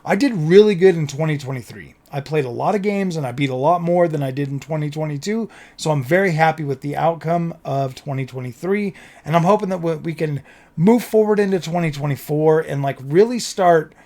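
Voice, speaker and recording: A man talking casually to his viewers as a gaming content creator, slightly hoarse, rambling and repeating phrases in an earnest, enthusiastic way. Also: a little high, darkish tone. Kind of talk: casual vlog talk to viewers.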